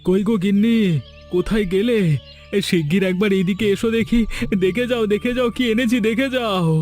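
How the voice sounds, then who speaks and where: A man's voice: high-pitched, fairly even intonation, a little fast, darkish tone, soft background music, lightly acted. A man voicing a humble, poor farm labourer and devoted family man in a cartoon folk tale. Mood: excited, proud.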